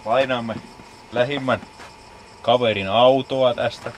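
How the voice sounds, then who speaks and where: A man giving a casual, deadpan, step-by-step demonstration as an amateur video maker. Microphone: camcorder microphone. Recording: noisy low-quality old camcorder audio.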